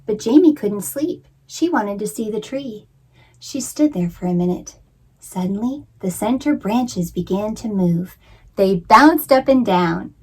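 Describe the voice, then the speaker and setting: Female children's storyteller, mid-range voice, expressive and theatrical picture-book narration. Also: mid-range voice, husky, rough recording. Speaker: children's author and librarian reading aloud.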